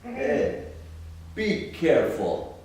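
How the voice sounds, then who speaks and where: A man's voice: fairly high pitch, huge pitch swings, very slow, a little mellow, a little hiss or hum, exaggerated voice. A man playing a menacing stranger, smug and mock-friendly, in a readers theater piece. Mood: falsely friendly. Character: menacing male stranger, falsely cheerful, taunting. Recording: very echoey room, distant mic.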